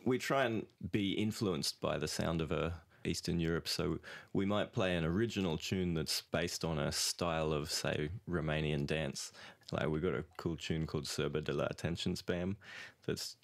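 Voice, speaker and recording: A man's voice: rumbling low, moderate pace, gravelly, few pauses. A man chatting casually as a musician being interviewed, in a jokey way with a ready laugh. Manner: jokey, laughs often while talking. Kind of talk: casual chat.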